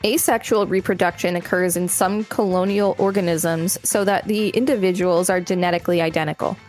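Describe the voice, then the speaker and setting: A woman's voice: fairly low pitch, steady tone, fairly quick, darkish tone, soft background music. A woman co-hosting a podcast, reading an encyclopedia article aloud with dry, wry quips. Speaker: podcast co-host.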